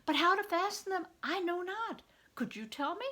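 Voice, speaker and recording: A woman's voice: extremely high pitch, animated, normal speed, pushed and bright, voice pushed up, heavily acted. An older woman voicing an eager, small ten-year-old medieval boy in a storybook, earnest and questioning, with archaic speech. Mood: eager, curious.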